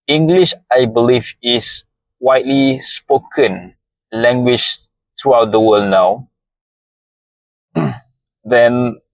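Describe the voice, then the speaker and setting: A man with a Malaysian accent, a native Malay speaker, giving a slow, deliberate motivational talk and often repeating phrases. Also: medium pitch, no echo, rough recording. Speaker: podcast host.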